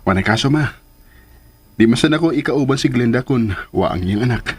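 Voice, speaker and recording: Radio drama suitor, Filipino accent, slightly husky, smitten, scheming. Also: male voice, mid-range voice, lively intonation, brisk. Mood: scheming, wistful. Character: smitten man scheming to win back old love. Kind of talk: Philippine radio drama acting.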